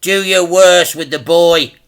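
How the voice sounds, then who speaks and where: A man's voice: high-pitched, steady tone, fairly bright tone, clear, resonant tone, pitched above the performer's own voice. A man voicing a sinister crime boss in a children's story, mocking and menacing as he draws out his threats. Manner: mocking, drawn-out menacing delivery.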